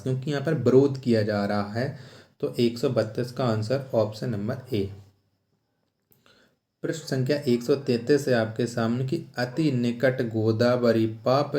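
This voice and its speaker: A man's voice: medium pitch, a little mellow. A man teaching exam questions step by step, repeating himself and asking rhetorical questions. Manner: repetitive rhetorical questioning, announcing each question and answer. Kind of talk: exam question-and-answer lesson. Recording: echoey room sound.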